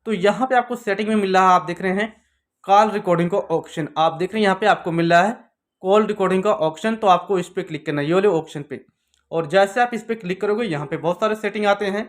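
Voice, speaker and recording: A man presents a phone tutorial. He explains step by step, restating each instruction in a repetitive pattern. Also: high-pitched.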